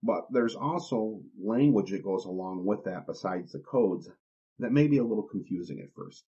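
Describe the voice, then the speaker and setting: A man hosting an instructional podcast, explaining things in a plain, deliberate, step-by-step way. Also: fairly low pitch, lively intonation, warm tone, slightly rough. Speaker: podcast host. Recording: dull, lo-fi podcast recording.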